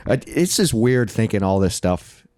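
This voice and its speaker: Low-voiced laid-back young man. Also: sing-song, normal speed, a little mellow. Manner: laid-back, laughs often, swears casually. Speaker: podcast co-host. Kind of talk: casual chat.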